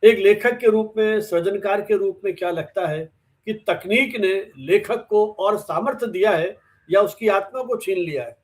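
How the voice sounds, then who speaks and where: A man hosting an interview, asking questions conversationally and deliberately in short segments. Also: high voice. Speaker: interviewer, host.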